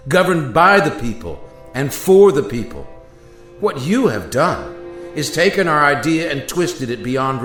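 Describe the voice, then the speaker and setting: Over music in an echoing space, a man acts out a grave founding father statesman from a radio play. His delivery swings widely as he reproaches his listeners with sorrowful, oratorical indignation. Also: medium pitch. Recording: roomy echo with music bed.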